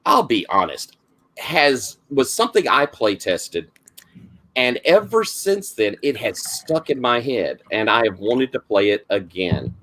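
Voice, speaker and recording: Man, Southern accent, gravelly voice, middle-aged, rambling game master, online call. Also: lowish voice, fairly bright tone. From South Carolina, US. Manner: rambling, lots of fillers and restarts. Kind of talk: casual introduction of a game.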